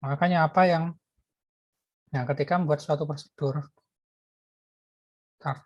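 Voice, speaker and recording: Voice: medium pitch, steady tone, a little slow, no echo, muffled recording. A lecturer explaining programming while typing code, thinking aloud with many fillers and restarts. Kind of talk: live coding lecture.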